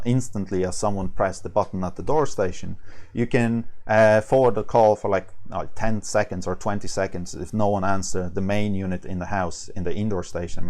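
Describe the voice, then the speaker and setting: A man with a low voice talks through a slide presentation as a product trainer. English is not his first language. His delivery is informal and halting, and he often repeats words and rewords what he has said. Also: a little mellow. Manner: halting, repeats and rephrases himself.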